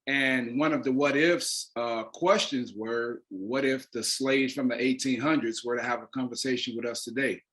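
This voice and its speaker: Male interview guest, mid-pitched, earnest and deliberate, stresses key words. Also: fairly even intonation, a bit bright. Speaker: interview guest, playwright and actor. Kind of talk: earnest explanatory interview talk.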